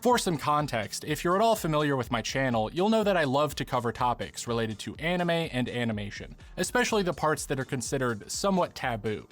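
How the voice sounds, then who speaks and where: A man's voice: mid-range voice, very animated, brisk, few pauses, a bit bright, soft background music. A man delivering scripted video essay narration, speaking directly to viewers.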